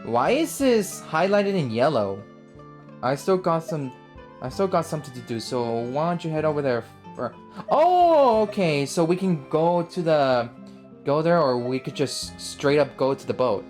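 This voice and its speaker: A woman hosts a gaming video with casual, reactive commentary. Her low voice swings up and down a lot as she thinks aloud haltingly, over faint game music. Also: sing-song, darkish tone.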